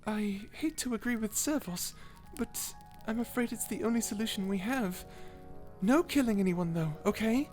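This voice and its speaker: Kind guardian angel, played by a woman: mid-range voice, measured, softly formal and protective, audio drama. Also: mid-range voice, faint music behind, natural voice. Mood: calm, dutiful. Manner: gentle, formal, measured, reassuring. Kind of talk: character audio roleplay.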